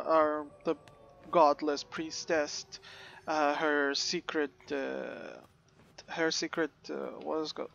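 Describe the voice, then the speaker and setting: A man's voice: on the high side, a bit bright, dull audio. A man hosting a casual game let's play, rambling and hesitant, his voice a bit crackly. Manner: rambling, hesitant with many fillers. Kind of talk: casual gameplay commentary.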